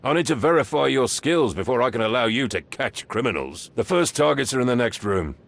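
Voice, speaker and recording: An actor playing a stern, grudging police training sergeant in a video game, gruff and condescending, with a gravelly voice. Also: male voice, mid-range voice, expressive, brisk, a bit bright. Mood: scornful.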